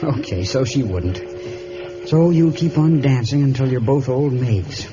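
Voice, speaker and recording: A man's voice: mid-range voice, measured pace, lightly acted. An actor playing an exasperated, insistent showman in love in a vintage radio melodrama, pleading his case. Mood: bitter warning. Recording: dull, lightly noisy old radio recording.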